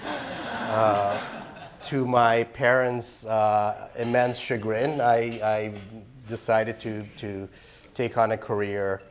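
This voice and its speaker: A man's voice: on the low side, level tone, slow, slight background noise. A middle-aged man from Iran, executive director of a human rights group, giving an earnest, explanatory talk at a live public forum.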